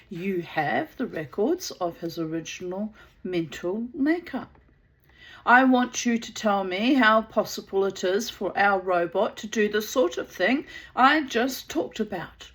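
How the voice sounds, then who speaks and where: A woman with a New Zealand accent reading a cool, measured female robot psychologist in a storybook, explaining firmly. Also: mid-range voice, natural voice.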